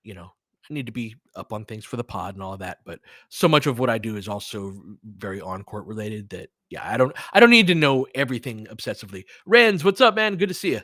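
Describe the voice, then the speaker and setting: Casual man talking hoops, bright voice. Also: fairly low pitch, sing-song, fast, no echo, clean studio sound. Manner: conversational, informal slang, thinking aloud. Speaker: sports podcast host. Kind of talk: casual live basketball commentary, replying to chat.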